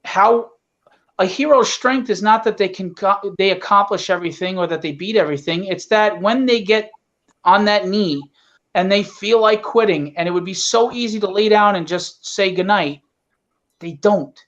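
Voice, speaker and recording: High-voiced man, earnest and blunt. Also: normal speed, a bit bright. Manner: earnest, blunt, salty language. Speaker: guest, independent comic writer. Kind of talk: casual, opinionated podcast talk. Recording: dull, lo-fi online call audio.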